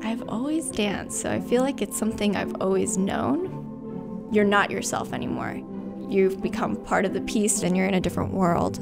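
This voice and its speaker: Young american woman, kinda fry-heavy voice, reflective interview style, loud music under it. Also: mid-range voice, animated, moderate pace. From New Jersey, United States. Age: around 20. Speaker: college student interviewee. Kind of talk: reflective personal interview narration. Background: prominent music.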